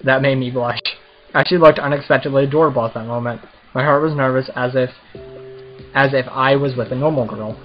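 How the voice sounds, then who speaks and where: A man's voice: medium pitch, steady tone, fairly quick, muffled recording, soft background music. A man doing a casual let's play, reading visual novel text aloud and commenting as the host of a gaming video.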